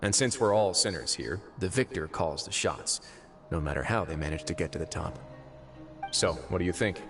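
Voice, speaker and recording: An actor playing a composed, wry duke in an anime video game, speaking in a measured, courteous and sly way. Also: male voice, low-pitched, lively intonation, a bit bright. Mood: smooth, calculating. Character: composed, wry male duke running an underworld fortress. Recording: game audio with clear music behind.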